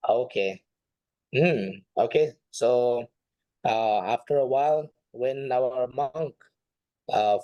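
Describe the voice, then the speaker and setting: Guy, monk teaching class online, mellow voice, kinda hesitant and repeats himself, really dry sound. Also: mid-range voice, no room sound, low-quality recording. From Nepal.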